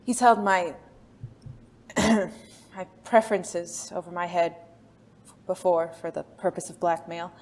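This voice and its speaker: A woman playing a refined, secretive former spy in an audio drama, speaking formally and haltingly, with endearments. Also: lowish voice, a little mellow. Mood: composed, regretful.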